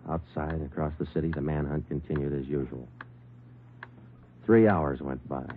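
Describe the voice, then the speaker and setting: An actor playing a matter-of-fact American police sergeant in an old radio detective drama, speaking tersely. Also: male voice, a bass voice, normal speed, a little hiss or hum. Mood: flat, weary. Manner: terse, deadpan. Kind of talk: 1950s radio drama acting and narration. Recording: muffled old radio recording, music behind.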